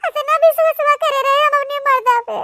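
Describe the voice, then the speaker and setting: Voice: very high voice, pushed and bright, dry recording, exaggerated voice. A performer voicing a wronged, heartbroken village wife and mother in a cartoon melodrama, plaintive and pleading, with an eastern Uttar Pradesh Awadhi accent. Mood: wailing. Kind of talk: regional cartoon comedy melodrama.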